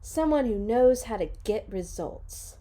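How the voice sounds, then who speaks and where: A woman voicing excitable, earnest and cheeky schoolchildren in a storybook, with loud, emphatic delivery. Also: a little high, unhurried. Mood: earnest, excited.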